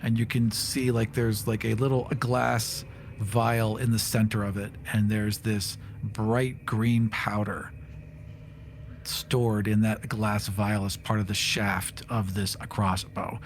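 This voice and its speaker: A man playing a tabletop game, casually narrating what his character does and thinking out loud as he goes. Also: on the low side, level tone, takes it slowly, a little hiss or hum. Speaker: player.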